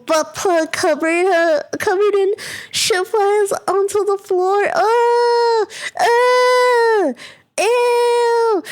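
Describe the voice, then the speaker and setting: A young woman streaming as a VTuber, giggling and reading stories aloud between crude riffs. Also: sits high. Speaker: VTuber reacting to a video. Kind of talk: casual reaction commentary, reading posts aloud.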